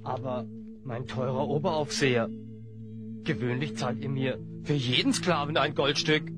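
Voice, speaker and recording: A man playing a gruff, grumbling slave trader who haggles, in a fantasy audio drama. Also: mid-range voice, normal speed, loud music behind the voice, close to an everyday voice.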